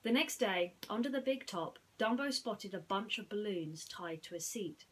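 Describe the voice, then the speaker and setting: A woman's voice: mid-range voice, expressive, normal speed, slightly rough. A woman telling a bedtime story and its simple message to listeners as a storyteller. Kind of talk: bedtime story narration and gentle reflection.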